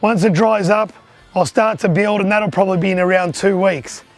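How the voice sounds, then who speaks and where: Hoarse voice, man, fairly high-pitched, light music behind, casual vlog chatter. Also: fairly even intonation, normal speed. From Australia. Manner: chatty, upbeat, pointing things out. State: lost voice, hoarse. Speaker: vlogger, arcade collector.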